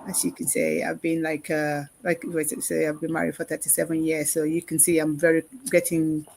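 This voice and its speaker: A woman's voice: sits low, brisk, a little mellow. A mature woman in her fifties or older from England, chatting casually as an interview guest. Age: 50s or older.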